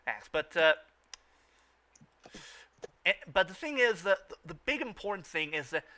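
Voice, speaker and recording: A man with a fairly high, very bright and forceful voice hosts casual fan commentary. He stammers often, circling back to repeat phrases before finishing a thought. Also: very animated, a little slow. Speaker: host of a fan riffing show.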